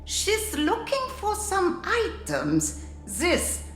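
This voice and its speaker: A woman's voice: very high-pitched, wildly swooping pitch, very slow, a bit bright, echoey room, soft background music, full character voice. A woman playing a brash, scheming nonhuman runesmith in a video game, boastful and scornful, speaking of herself in the third person. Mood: sly, scheming. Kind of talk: dramatic video game acting.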